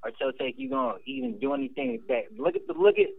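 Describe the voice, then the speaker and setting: A man's voice: very high voice, fast, gravelly, husky, dry recording, phone-quality sound. A man appearing as a rapper guest, talking bluntly and emphatically with plenty of swearing. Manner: blunt, emphatic, profane, animated. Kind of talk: casual interview talk.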